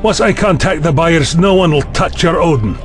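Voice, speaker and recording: A man as sceptical higher-voiced human sidekick. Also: moderate pace, soft background music, natural voice. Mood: worried. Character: male human ally, sceptical, plain-spoken, anxious. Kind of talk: audio drama acting.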